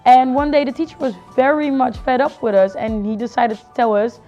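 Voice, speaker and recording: A young woman in her early twenties with a fairly high voice. She speaks reflectively and conversationally, sharing her own experiences as a student. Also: fairly quick, mellow, lo-fi sound, soft background music. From Netherlands. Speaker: student telling her personal story. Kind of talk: personal testimony, reflective.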